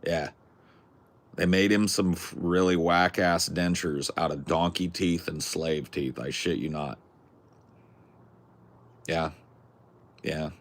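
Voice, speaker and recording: A man's voice: sits low, fairly even intonation, slow pace, pauses often. A young man from California with a Californian accent, chatting casually in a rambling, jokey, crude way. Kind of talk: casual chat.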